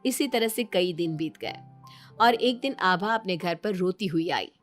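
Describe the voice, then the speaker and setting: A woman's voice: fairly low pitch, lively intonation, measured pace. A woman storyteller reading a scripted moral family story as narrator. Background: background music.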